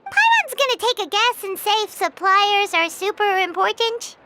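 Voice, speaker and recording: A woman voicing a tiny, excitable floating companion girl who talks about herself in the third person, in game cutscene dialogue. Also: extremely high pitch, moderate pace, heavy background noise. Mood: eager. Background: game soundtrack music.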